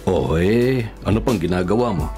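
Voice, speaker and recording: Low-voiced, kindly Filipino father. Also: expressive, moderate pace, warm tone. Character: kindly father, older man, gently encouraging his son. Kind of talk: Filipino radio drama acting. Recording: faint music behind the voice.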